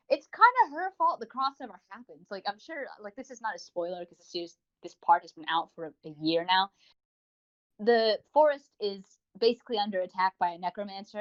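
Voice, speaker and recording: A woman co-hosting a podcast, giving a rambling, enthusiastic review in a casual, chatty style. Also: medium pitch. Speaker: podcast co-host. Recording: dull lo-fi voice recording.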